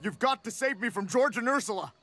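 A man's voice: very high-pitched, fairly quick, pushed and bright. A man voicing an exasperated, put-upon talking gorilla in a cartoon, fretful and pleading with a dry edge. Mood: complaining. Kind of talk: cartoon voice acting. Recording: music behind the voice.

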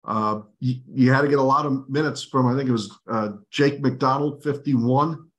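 A middle-aged man hosts a postgame show, walking through the game in a calm, analytical way. He speaks at an ordinary pitch and pace. His voice is picked up by a webcam on a video call. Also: moderate pace, poor audio. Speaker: host. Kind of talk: postgame sports analysis chat.

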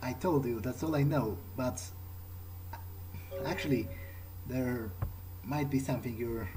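A man improvising in-character dialogue as a gamer, in a hesitant, low-key way. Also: unhurried, over music, low-quality recording.

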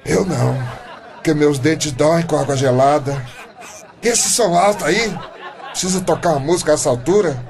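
Creaky, grumbling old Brazilian man. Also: on the high side, normal speed, a little mellow, a faint crowd. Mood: indignant. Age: older. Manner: exclamatory, indignant. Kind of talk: radio drama acting.

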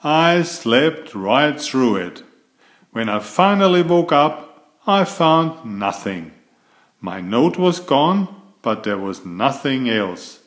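A man reading a children's picture book aloud in slow, expressive storybook narration. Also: mid-range voice.

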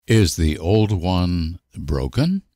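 Man, deep voice, extremely slow pace, careful enunciation, language-lesson delivery, dry close sound. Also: sing-song, mellow, no room sound. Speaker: language teacher. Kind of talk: slow repeated example sentences for language learners.

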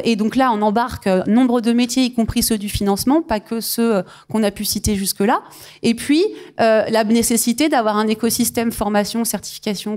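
Woman in her forties, fairly high voice, halting panel speaker, handheld mic on stage. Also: darkish tone. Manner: hesitant, many fillers and restarts, thinking aloud. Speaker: union representative on a panel. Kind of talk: panel discussion. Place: large conference stage.

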